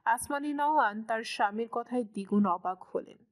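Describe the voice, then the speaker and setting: Casting: female narrator for scripted fiction, slightly high register, storytelling delivery. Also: normal speed.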